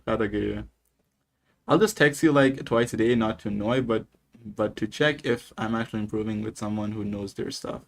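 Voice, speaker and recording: Guy from nepal live coding, reads out his code step by step, chill and chatty, mid pitch. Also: creaky, a bit bright. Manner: casual, talks through each step while typing. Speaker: coding streamer. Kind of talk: live coding stream, dictating code and reading chat.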